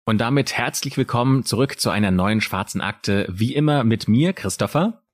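A male true crime podcast co-host with a Palatinate German accent, telling the story dramatically with pauses. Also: mid-range voice, lively intonation.

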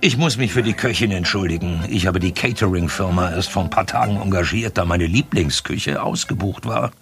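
An actor playing a jovial, boastful, wealthy yacht host in a German audio drama, with smug, flamboyant patter. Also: male voice, low voice, a little fast, gravelly, fairly bright tone, soft background music. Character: jovial, boastful rich male yacht host and diamond magnate.

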